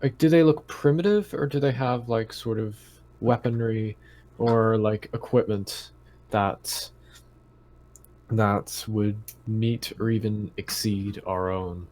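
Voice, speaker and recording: A man playing a tabletop game, chatting casually in an understated, deliberate manner. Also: on the low side, steady tone, a little mellow. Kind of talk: casual chat.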